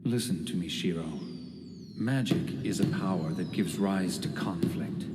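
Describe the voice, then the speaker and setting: A man playing a grave, kindly mage father in an anime dub, speaking in a measured, solemn way. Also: low-pitched, background noise. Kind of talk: anime English dub acting. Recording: echoey long reverb, loud music behind.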